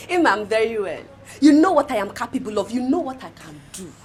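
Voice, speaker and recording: A woman's voice: fairly high pitch, animated, normal speed, close to an everyday voice. An actress playing a fiery, vengeful woman in a film drama, confrontational and taunting, with a Nigerian accent. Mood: threatening. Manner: confrontational, taunting, repeats herself mockingly. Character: fiery, vengeful woman confronting her cousin's abuser, menacing. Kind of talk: Nollywood film drama acting.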